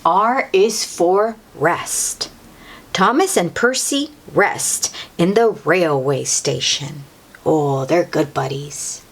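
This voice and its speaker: A woman's voice: lowish voice, slow pace. A woman hosting a children's read-aloud, reading a picture book in a teacherly way, repeating and stressing key words and talking directly to the young listener.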